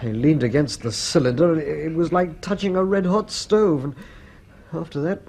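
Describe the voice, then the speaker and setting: An actor playing a dazed, shaken and confused injured man in a radio drama, halting and repeating words. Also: fairly high pitch, gravelly, darkish tone, slight background noise. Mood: dazed, pained.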